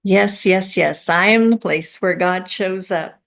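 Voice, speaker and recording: Slow-speaking woman leading a church service. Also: fairly low pitch, creaky. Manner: measured, deliberate, reverent phrasing. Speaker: service leader at a spiritual center.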